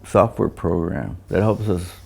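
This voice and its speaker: An older man who designs loudspeakers, talking casually about technical matters and often repeating words as he recounts things. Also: low voice, animated, vocal fry, slightly rough. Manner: halting, repeats words, anecdotal.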